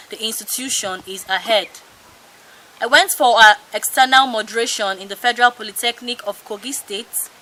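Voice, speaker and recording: A woman's voice: a little high, bright, forceful tone, poor audio. A young adult woman with a Nigerian accent reading a scripted news report as a student reporter.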